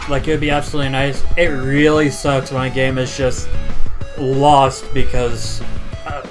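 A man's voice: mid-range voice, level tone, moderate pace, music in the background. A man talking casually as a panelist on a podcast. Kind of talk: casual discussion.

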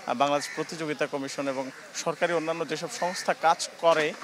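High-voiced young reporter at outdoor market. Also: male voice, fairly even intonation, a little fast, a bit bright, a little hiss or hum, rough recording. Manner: explanatory with frequent hesitations. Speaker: field reporter. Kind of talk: live news report. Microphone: handheld mic. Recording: faint outdoor market ambience.

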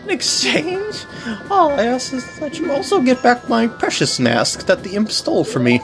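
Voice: high-pitched, very animated, normal speed, over music, voice pushed up, poor audio. A game commentator doing an eerily polite, sing-song mask salesman. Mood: coaxing.

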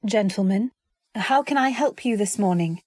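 A woman's voice: mid-range voice, expressive, normal speed, a little mellow, dry recording, clean studio sound, lightly acted. A woman playing an anxious, devoted wife who narrates her story in dramatic old-time radio style. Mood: polite, guarded. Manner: dramatic, earnest delivery. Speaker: narrator and actor.

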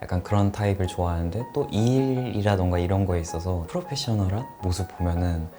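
A man in his late twenties, a guest talking in an unhurried, soft-spoken way. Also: sits low, music in the background. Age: 20s.

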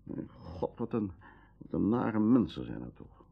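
An actor playing an elderly, troubled and protective grandfather in a radio drama, with a gravelly, husky voice. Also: on the low side, sing-song, unhurried, heavily acted. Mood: indignant, distressed. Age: older. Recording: dull vintage radio recording.

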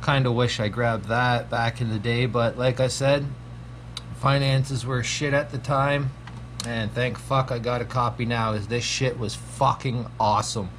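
Slow-talking swearing man reviewing horror. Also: medium pitch, level tone, fairly bright tone, slight background noise. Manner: laid-back, swears constantly, frequent ums. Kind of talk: casual profane video review. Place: small home room at a desk.

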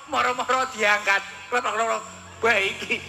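A man's voice: very high voice, steady tone, a little slow, gravelly, bright, forceful tone, soft background music. A young man, hosting and preaching, who teases the crowd in a playful joking way. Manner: teasing, playful. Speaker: preacher and host. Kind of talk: joking religious talk. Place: outdoor stage. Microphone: headset.

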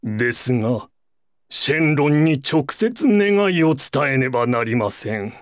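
An actor playing an ancient, wise alien elder in an anime video game, solemn, weary and gentle. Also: male voice, medium pitch, big pitch swings, a little slow, dry recording, clean studio sound. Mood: calm, weary.

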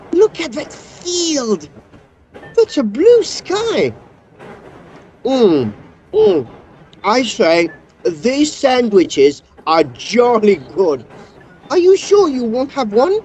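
A woman's voice: sits high, wildly swooping pitch, extremely slow, long pauses, a little mellow, a little hiss or hum, heavily acted. A woman voicing an eager, clumsy young Edwardian assistant with an English accent, gushing and apologetic in a comic audio drama.

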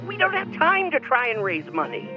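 Anxious cabaret boss on a dull phone line. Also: male voice, very high voice, wildly swooping pitch, moderate pace, over music, full character voice. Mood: hopeful, urgent. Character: anxious, apologetic cabaret boss, good-hearted man. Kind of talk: dramatic video game dubbing.

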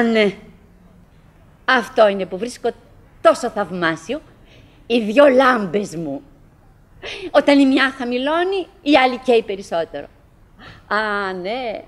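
An actress playing a chatty, wistful middle-aged wife who clings to brave cheer, in a halting, dramatic radio play monologue. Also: female voice, on the high side, animated, natural voice. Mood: fond, cheerful.

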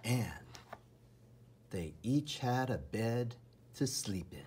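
A man reading a children's storybook aloud with enthusiastic, theatrical emphasis and dramatic pauses in a husky, breathy voice. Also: medium pitch, lively intonation, dark tone. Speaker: storybook reader. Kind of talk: children's read-aloud narration.

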